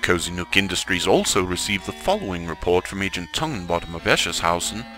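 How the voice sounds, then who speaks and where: A man's voice: low-pitched, very animated, fairly quick. A man narrating in a formal, portentous, mock-serious old-time radio announcer style. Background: music.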